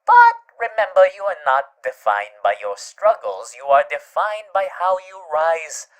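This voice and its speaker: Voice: medium pitch, huge pitch swings, unhurried. An actor voicing a suave, theatrical male demon who soothes the listener tenderly in an ASMR roleplay, often dropping to murmurs. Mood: theatrical, reassuring.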